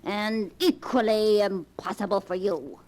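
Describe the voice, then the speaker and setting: A woman acts an elderly grandmother in a 1940s radio drama. She speaks slowly and deliberately, with sardonic, knowing menace and cool mockery, savouring her schemes. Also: medium pitch, darkish tone, natural voice. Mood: cool, accusing. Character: elderly scheming grandmother, coolly mocking, vengeful.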